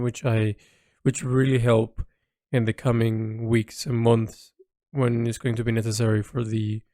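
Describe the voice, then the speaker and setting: A man's voice: medium pitch, a little mellow, no echo. Reflective, hesitant man in a dry recording. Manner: hesitant, thinking aloud with trailing phrases. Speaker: artist recording a personal audio log. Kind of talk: informal reflective audio diary.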